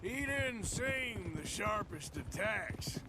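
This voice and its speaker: Sardonic western outlaw, thirties, dry grumbler, slow delivery, game cutscene, very noisy ambience. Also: male voice, sits high, expressive. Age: 30s. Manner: sardonic, laconic. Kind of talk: cinematic western game acting. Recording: loud outdoor ambience noise behind.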